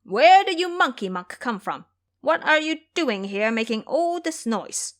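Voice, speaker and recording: A woman's voice: high-pitched, big pitch swings, a little slow, pitched above the performer's own voice. A woman voicing a swaggering male tiger monster henchman in an audio drama, boastful and mocking. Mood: angry, challenging.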